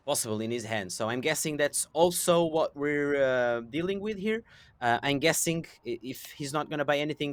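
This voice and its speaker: A man giving casual, excitable color commentary on an esports match, thinking aloud as he breaks down the teams' plans. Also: medium pitch, no echo. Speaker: esports co-caster.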